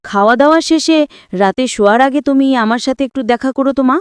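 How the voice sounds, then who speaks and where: Elderly village farmhand played by a woman, hesitant and deferential, high clear voice for audio story. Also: a little fast, no room sound, studio quality, close to an everyday voice. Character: polite, hesitant elderly male farm labourer.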